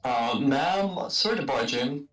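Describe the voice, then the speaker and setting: A man's voice: mid-range voice, expressive, takes it slowly, fairly bright tone, soft background music. A man playing a sheepish, polite young adventurer, hesitant and apologetic, recorded on a distant mic in a roomy space. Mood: timid, worried.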